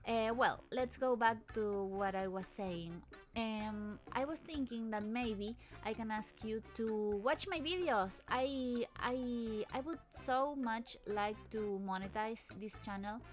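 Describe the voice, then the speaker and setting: A woman's voice: a little high, takes it slowly, faint music behind. A woman who is not a native English speaker, talking over video clips as the host of her channel in a hesitant, nervous way, often restarting her sentences, recorded at home in an apartment. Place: apartment in a busy town area with traffic outside.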